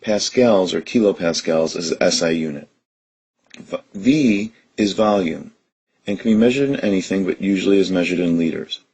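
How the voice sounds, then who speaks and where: A man with a very deep, husky voice teaching chemistry. He talks through each step of a problem in a steady, methodical way. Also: moderate pace, low-quality recording. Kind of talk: recorded lesson explanation.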